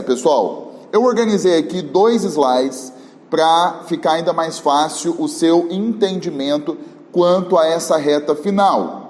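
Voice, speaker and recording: A man's voice: on the high side, darkish tone, long reverb tail. A male teacher with a Brazilian Portuguese accent gives a chatty exam-prep video lesson, often checking in with his students, his voice a little worn from a recent cold. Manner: talkative, with frequent check-in tags.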